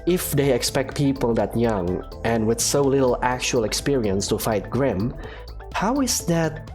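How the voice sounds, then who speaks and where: A man's voice: mid-range voice, very animated, measured pace, soft background music. A man narrating a fan visual novel as its student hero, hesitant and thoughtful. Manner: hesitant, thoughtful, soft-spoken. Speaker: narrator and player character.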